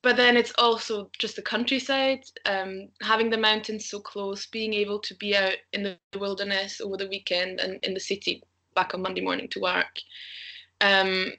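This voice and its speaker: Bright-voiced young Austrian woman chatting. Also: mid-range voice, level tone, normal speed. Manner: chatty, laughs often, thinks aloud with ums. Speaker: podcast guest, travel blogger. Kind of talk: casual interview chat.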